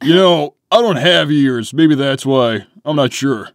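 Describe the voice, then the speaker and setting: This is a man playing a hot-tempered, gullible cartoon echidna. His gruff, blunt voice is pitched high for a male, gravelly and full of indignant swings, with music behind. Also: expressive, unhurried, dry recording, studio quality. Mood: puzzled. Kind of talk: comic dub voice acting.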